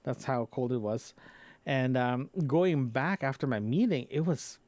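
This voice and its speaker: Guy doing a casual solo audio diary, average pitch, kinda halting n rambly, repeats himself, close dry mic. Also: lively intonation, darkish tone, no room sound. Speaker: podcaster recording a personal audio log. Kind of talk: casual unscripted solo chat. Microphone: close microphone into a recorder, compressed.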